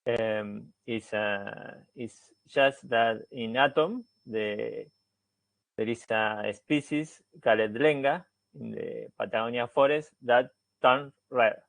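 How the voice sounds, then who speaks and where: Argentine guy giving a science talk, slow and gravelly, lots of uhs, dry sound. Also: medium pitch, a bit bright, no room sound. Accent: Argentine Spanish. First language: Spanish. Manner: hesitant, many fillers and restarts. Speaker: researcher giving a seminar talk. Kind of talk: academic presentation.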